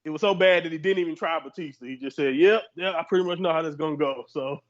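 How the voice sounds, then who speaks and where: Man, fairly high voice, laid-back rambling storyteller, dull lo-fi mic. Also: fast talker. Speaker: podcast co-host. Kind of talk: casual chat.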